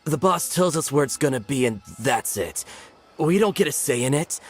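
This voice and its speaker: A small-time crook in a video game, jumpy and quick to give in. His mid-range voice moves up and down a lot in an informal delivery, with music underneath. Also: mid-range voice, animated, breathy edge. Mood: nervous.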